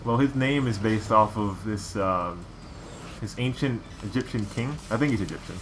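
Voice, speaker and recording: A man's voice: on the low side, normal speed, fairly bright tone. A man giving casual game commentary, chatty and rambling as he explains things. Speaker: let's play co-commentator. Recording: noticeable background noise.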